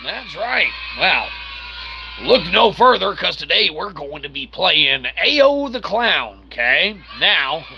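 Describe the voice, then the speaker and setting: A man's voice: sits high, big pitch swings, takes it slowly, gravelly, background noise. A man hosting a casual, rambling game livestream in a jokey, mock-earnest showman manner. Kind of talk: casual rambling let's-play commentary.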